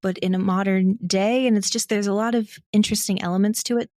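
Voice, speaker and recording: A woman's voice: medium pitch, steady tone, quick pace, a little mellow, no echo, studio quality. A young American woman talking casually and reflectively as an interview guest, rambling a little. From small town in Ohio, United States. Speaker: podcast guest, audio drama writer and voice actor.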